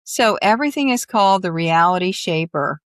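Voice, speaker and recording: A woman's voice: on the low side, expressive, a little fast, a bit bright, no echo. Encouraging woman podcast host, dry sound. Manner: encouraging, effusive. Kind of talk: casual interview chat.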